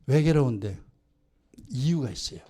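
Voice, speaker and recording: A man's voice: medium pitch, animated, normal speed, dark tone, breathy edge. A man with a Gyeongsang Korean accent preaching a sermon as pastor, scolding his congregation with rhetorical questions.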